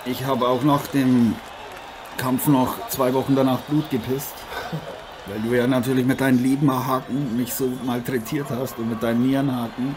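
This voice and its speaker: A man's voice: medium pitch, flat delivery, moderate pace, natural voice. A man playing an admiring, chummy ex-champion boxer commentating a fight live in a radio play, repeating his phrases and addressing listeners as friends. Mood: chummy, reflective. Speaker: sports commentator. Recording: noisy arena crowd behind the voice.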